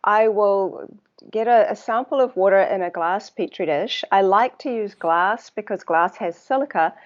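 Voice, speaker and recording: Woman with a New Zealand accent, lo-fi. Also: medium pitch. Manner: thoughtful, unhurried, searching for words with fillers. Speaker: guest, water researcher. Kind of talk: casual interview talk.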